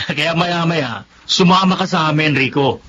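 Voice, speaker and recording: An actor playing a pushy, smooth-talking gambling buddy who tempts his friend, in a Filipino radio drama. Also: fairly high pitch, takes it slowly, bright, forceful tone. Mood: coaxing. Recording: muffled, noisy radio drama recording.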